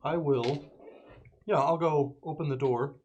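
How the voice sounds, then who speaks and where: A man's voice: fairly high pitch, measured pace, darkish tone, lo-fi sound. Casual man at a game table, some noise. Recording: background noise with clicks.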